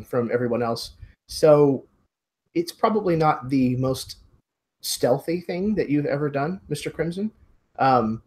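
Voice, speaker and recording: A man with a medium-pitched, husky voice runs a tabletop mystery game. He narrates conversationally as game master, describing scenes and laying out choices for the players.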